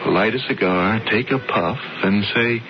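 A man's voice: lowish voice, a little slow, slight background noise, close to an everyday voice. An actor playing an anxious, lovesick young sculptor who stammers and pleads with his older brother, heard on a dull, lo-fi radio broadcast. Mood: wistful. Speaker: narrator and lead character. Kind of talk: 1970s radio drama acting.

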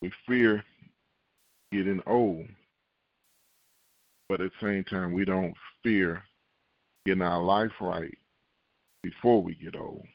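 A man preaching a sermon, reading scripture and acting out worried believers in a raised voice, repeating key lines slowly for emphasis. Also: sits low, steady tone, vocal fry, no echo, highs cut off. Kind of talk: podcast sermon.

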